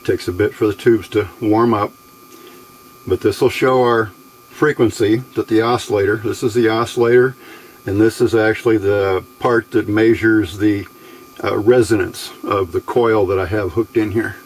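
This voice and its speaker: A man's voice: lowish voice, steady tone, moderate pace, a little hiss or hum. A man giving a casual, unscripted hands-on demonstration, explaining step by step in a plain, folksy way. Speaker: hobbyist presenter demonstrating electronics test equipment.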